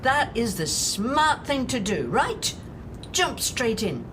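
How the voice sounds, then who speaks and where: A woman playing a despairing, scornful woman in an audio drama monologue, haltingly lecturing an unseen listener on humanity's end. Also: a little high, big pitch swings, noisy background, pitched above the performer's own voice. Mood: mocking, exasperated. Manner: deliberate, halting, rhetorical, addressing an unseen listener.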